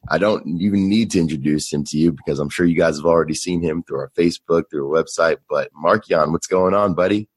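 A man's voice: deep voice, fast talker, few pauses, husky, dry recording. A young adult man hosting a casual podcast interview, laid-back and friendly with his guest. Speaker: podcast host.

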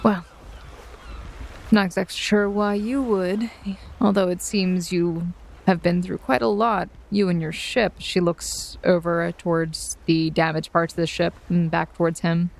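A woman's voice: lowish voice, steady tone, creaky, slight background noise. Playful woman playing a tabletop game. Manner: playful, chatty, quick to laugh at herself. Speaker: player. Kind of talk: tabletop roleplay, in and out of character.

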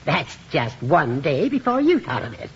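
An actor in a 1950s radio comedy playing a jovial, needling old judge with a florid, mock-formal manner. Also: male voice, fairly high pitch, wildly swooping pitch, normal speed, a bit bright, heavily acted. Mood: jovial, teasing. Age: older. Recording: muffled hissy 1950s radio, audience behind.

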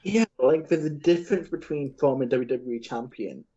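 A man's voice: medium pitch, normal speed, mellow, lo-fi sound. A man co-hosting a podcast in casual banter, laid-back and chatty, with filler words and some swearing. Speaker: podcast co-host.

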